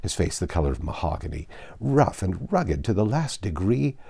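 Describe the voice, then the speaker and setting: A man's voice: low voice, very animated, moderate pace, creaky, warm tone, slightly rough, heavily acted. A man reading aloud as a vain, haughty older baronet in a classic novel, with a pompous, emphatic delivery. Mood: disdainful, indignant. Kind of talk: theatrical audiobook character reading.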